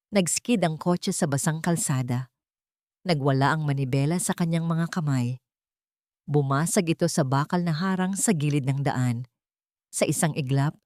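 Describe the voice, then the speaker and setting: A woman's voice: lowish voice, a little mellow, dry recording, clean studio sound. A woman narrating a dramatic audiobook story in a scripted storytelling style.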